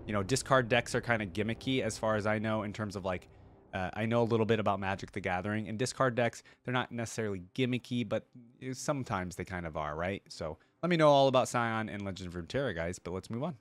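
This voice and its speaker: Lowish-voiced man reacting casually. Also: quick pace, no echo. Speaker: reaction video host.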